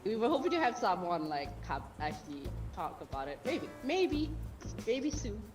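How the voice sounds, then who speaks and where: A woman's voice: mid-range voice, moderate pace, over music. A woman, hosting a casual livestream chat and laughing often. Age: 30s. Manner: chatty, lively, laughs often. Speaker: stream host.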